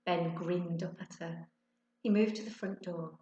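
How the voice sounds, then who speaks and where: A woman's voice: lowish voice, steady tone, a little slow, dark tone, highs cut off. A woman narrating a children's storybook expressively, like a teacher reading to her class. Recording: echoey, roomy sound.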